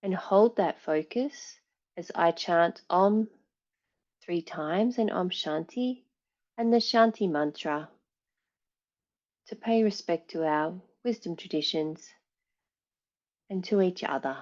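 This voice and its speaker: A woman teaching yoga nidra, guiding relaxation in a calm, soothing, measured way with long pauses. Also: lowish voice, muffled recording. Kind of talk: guided relaxation, meditation instruction.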